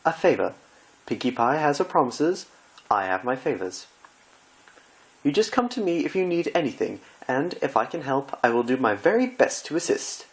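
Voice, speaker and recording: Here is a refined lady pony from a cartoon fan story, acted out by a male reader. The voice is pitched well up, with gushing, theatrical delivery. Every phrase is prim, fussy and full of drama. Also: sing-song, moderate pace, rough recording. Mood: earnest, prim.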